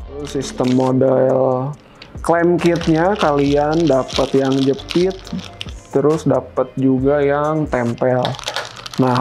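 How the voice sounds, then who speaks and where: A man's voice: medium pitch, a little mellow. A man hosting a product unboxing in an informal, explanatory, step-by-step way. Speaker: product review host. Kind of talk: casual unboxing presentation to camera.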